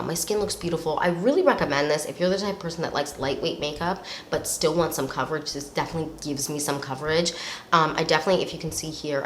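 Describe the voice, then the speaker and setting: A young woman with a slightly nasal voice from a cold, chatting casually and rambling with lots of fillers while reviewing products. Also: low voice. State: recovering from a cold. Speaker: beauty vlogger. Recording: echoey room with faint noise.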